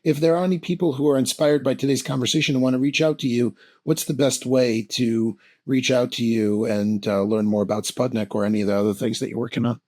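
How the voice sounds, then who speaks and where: A male podcast host whose voice is raspy and husky. He talks in an enthusiastic, affirming way at a middling pitch. He sounds like a casual interviewer. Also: steady tone, fast talker, few pauses, darkish tone.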